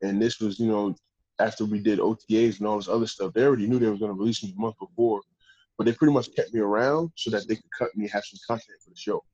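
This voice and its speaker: Deep-voiced laid-back football player. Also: male voice, fairly even intonation, fast talker, a little mellow, husky, muffled recording. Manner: laid-back, rambling with restarts. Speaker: pro football player guest. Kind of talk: casual storytelling interview.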